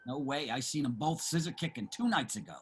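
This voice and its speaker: An actor playing a brash, boastful, crude homeless ex-sailor with a rough voice in a play reading. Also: male voice, medium pitch, expressive, a little fast. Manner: combative, crude street talker. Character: brash, boastful, gossiping homeless ex-merchant-marine man. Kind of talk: theatrical table reading of a stage play.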